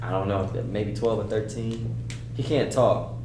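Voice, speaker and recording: A man reading the part of an earnest, hesitant, respectful young man at a table read, halting and casual. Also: lowish voice, close to an everyday voice. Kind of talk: script read aloud at a table read. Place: roomy indoor table read. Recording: echoey room, distant mic, noisy hum.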